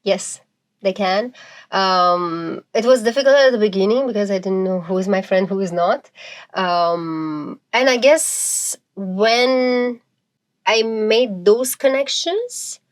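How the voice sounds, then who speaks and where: A woman with a Romanian accent answers interview questions at a middle pitch. She talks reflectively, pausing on fillers and restarting her sentences as she searches for words. Her tone is candid and unhurried. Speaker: guest.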